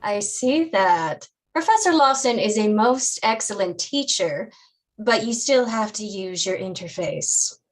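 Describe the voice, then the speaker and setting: Mother, played by a woman: unhurried, expressive pitch, composed and quietly firm, sci-fi stage drama. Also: low-quality recording. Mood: calm, firm. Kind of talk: staged reading of a science fiction play.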